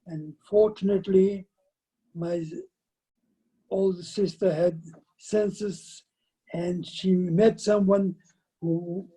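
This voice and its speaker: Man in his nineties, from Lithuania, high-pitched, slow and halting, interview guest, video call. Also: fairly even intonation, warm tone, slightly rough, low-quality recording. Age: 90s. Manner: halting, with repeated words and broken sentences. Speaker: guest, survivor giving testimony. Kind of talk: recounting life story in an interview. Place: indoors at home, by shelves of framed photos. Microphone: video call, computer microphone.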